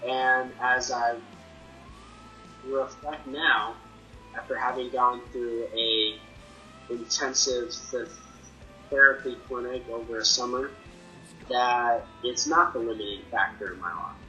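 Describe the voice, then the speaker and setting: A young man who stutters, with repeated sounds and restarts, telling his own experience in an earnest, measured way. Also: medium pitch, steady tone, sharp tone, faint music behind, rough recording. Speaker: person sharing his experience. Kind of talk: personal testimony to camera.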